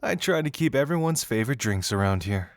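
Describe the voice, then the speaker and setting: A man's voice: medium pitch, animated, a little fast, no echo, clean studio sound, natural voice. Man playing a playful detective with swooping intonation. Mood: friendly, welcoming. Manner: teasing, theatrical, musing hums and soft chuckles. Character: playful, teasing young male detective agency colleague. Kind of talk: audio roleplay acting addressed to the listener.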